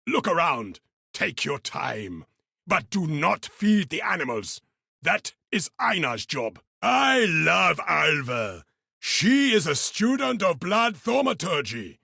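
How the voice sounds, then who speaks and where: A man's voice: a little high, creaky, sharp tone, slightly rough, dry recording, studio quality, heavily acted. A man playing a boisterous, eccentric, cheerfully mad beast hunter in a video game, talking with theatrical exuberance. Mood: earnest, musing. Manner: third-person self-talk, theatrical, exuberant delivery. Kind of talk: video game character voice lines.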